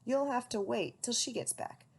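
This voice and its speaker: A woman reading aloud, voicing a calm, matter-of-fact father barn owl. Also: fairly high pitch, moderate pace, vocal fry, breathy edge.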